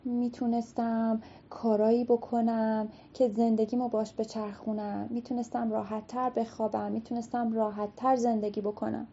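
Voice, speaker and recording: A woman's voice: a little high, little pitch movement, mellow, lo-fi sound, a little hiss or hum, close to an everyday voice. A woman voicing a worried young hunchbacked man in a told folktale, speaking anxiously and pleading. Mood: earnest.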